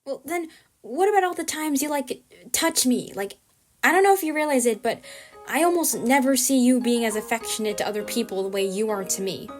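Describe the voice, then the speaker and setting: A woman's voice: high voice, animated, soft background music, voice pushed up. Woman voicing an awkward, anxious young camera operator. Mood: incredulous. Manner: halting, hesitant, rambling. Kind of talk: audio drama acting.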